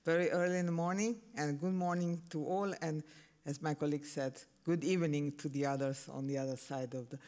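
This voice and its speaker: Italian man with a high voice presenting. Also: fairly even intonation, darkish tone. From Italy. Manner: halting, repeats words and fills with uh. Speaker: conference presenter. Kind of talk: conference talk.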